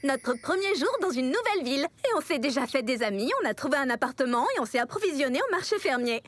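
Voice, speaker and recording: A woman voicing an excitable, wide-eyed young animal girl, eager and expressive, in a French-dubbed children's cartoon. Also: high voice, quick pace, slight background noise. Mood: excited. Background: music.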